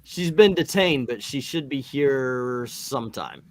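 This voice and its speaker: A man playing a flustered, self-doubting chairman of a superhero team in an amateur comic read, halting and awkward. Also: mid-range voice, expressive, no echo. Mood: apologetic. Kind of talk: loose amateur comic-book table read.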